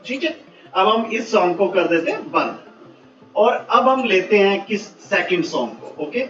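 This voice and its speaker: A man giving step-by-step software instructions, mixing in English technical words. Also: high-pitched. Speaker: software tutorial presenter. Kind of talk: step-by-step screen tutorial. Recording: muffled, roomy, distant mic, faint music.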